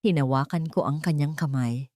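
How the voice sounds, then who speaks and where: A woman narrates a dramatic romance story in the first person. Her voice sits low, and the recording is very dry, with no room sound. The delivery is scripted storytelling. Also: normal speed, dark tone, dry recording.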